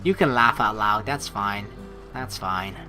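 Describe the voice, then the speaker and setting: A woman's voice: a bass voice, unhurried. A woman hosting a game playthrough, reading narration aloud and adding playful, chatty commentary over music. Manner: playful, chatty, reacts to the story. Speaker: let's play host.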